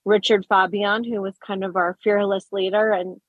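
A woman's voice: medium pitch, flat delivery, moderate pace, husky, no room sound, phone-quality sound. A woman from Colorado, a guest on a radio show, chatting in a bubbly way and laughing easily. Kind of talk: casual interview chat.

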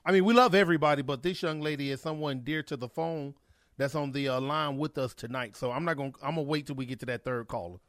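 A man with a slightly high voice screens callers for a radio talk show. He strings out his introductions to build suspense. The sound is dry and close. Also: quick pace, a bit bright, dry recording. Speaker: call screener. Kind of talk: casual radio chat.

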